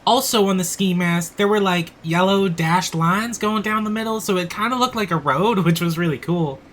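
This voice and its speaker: A woman's voice: medium pitch, moderate pace, a little hiss or hum, lightly acted. A woman playing a sensible, exasperated crossing guard in a comic audio play, with dry, sarcastic delivery. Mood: earnest.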